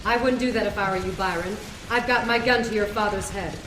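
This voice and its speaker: An actress playing a tough, sassy noir lounge singer and gunslinger, sardonic and unflappable. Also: female voice, mid-range voice, fairly quick, fairly bright tone, lightly acted. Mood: threatening. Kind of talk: noir audio drama acting. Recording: echoey, noisy track with rain.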